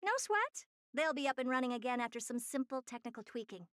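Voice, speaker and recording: A woman's voice: high-pitched, big pitch swings, a little fast, a bit bright. An actress voicing a self-assured genius woman scientist in a video game, with a haughty, offhand, dryly dismissive manner. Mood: breezy confidence.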